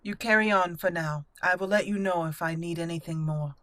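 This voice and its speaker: A woman hosting a game playthrough, roleplaying aloud with theatrical, deliberate phrasing. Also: on the low side, a bit bright, no echo. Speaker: gaming channel host.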